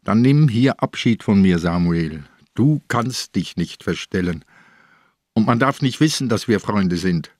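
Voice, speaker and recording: Slow-spoken, shrewd old police commissioner. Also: male voice, fairly low pitch, lively intonation, dry recording, clean studio sound. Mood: shrewd, resolute. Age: older. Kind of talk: radio drama acting.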